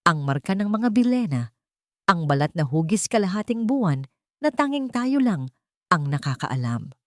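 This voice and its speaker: A woman voicing an anguished, accusing grown son in a dramatic story, with an intense, halting delivery. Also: fairly low pitch, very animated, dry recording, studio quality.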